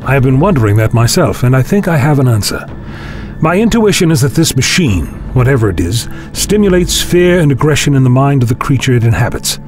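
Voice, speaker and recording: A man acting a learned, calmly authoritative time-travelling scientist in a science fiction audio drama, speaking in measured, formal phrases. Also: medium pitch, soft background music, natural voice. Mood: calm, explanatory. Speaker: lead actor in a fan audio drama.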